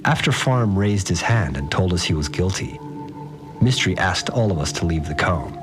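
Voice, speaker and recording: Deep-voiced uneasy fixer over music. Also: male voice, lively intonation, moderate pace. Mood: uneasy, confused. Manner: hesitant, plain-spoken, uneasy. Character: troubled, doubting male fixer recounting events. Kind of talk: confessional audio drama monologue.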